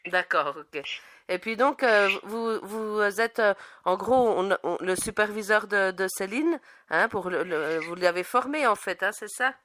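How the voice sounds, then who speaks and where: A woman hosting an informal radio interview, feeling her way through questions with hesitant, halting phrasing. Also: medium pitch, a bit bright. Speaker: radio host.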